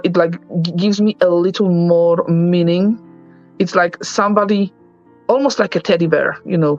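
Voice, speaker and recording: A woman speaks in a gravelly, unhurried way, pausing often and reaching for her words. Her voice comes through a dull, muffled film soundtrack, with soft music underneath. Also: lowish voice. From Poland. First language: Polish. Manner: slow, thoughtful, searching for words with many fillers. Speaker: documentary interviewee. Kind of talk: reflective documentary interview.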